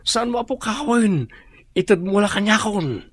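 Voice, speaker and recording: A man's voice: sits high, animated, moderate pace, no echo. An actor playing a bitter man recovering in hospital, speaking in a grim, resentful way in a radio drama. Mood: agitated.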